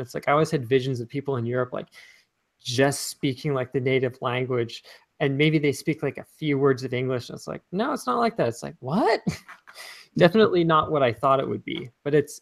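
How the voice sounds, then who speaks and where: A man's voice: medium pitch, a little fast, gravelly. A man co-hosting a casual talk show, rambling with lots of filler words. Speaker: co-host. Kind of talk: casual chat.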